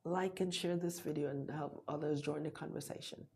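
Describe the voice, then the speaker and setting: A woman's voice: deep voice, steady tone, moderate pace, vocal fry, darkish tone, slight background noise. A middle-aged woman with a Jamaican accent hosting a motivational live talk, urgent and repetitive, dropping to whispers for emphasis.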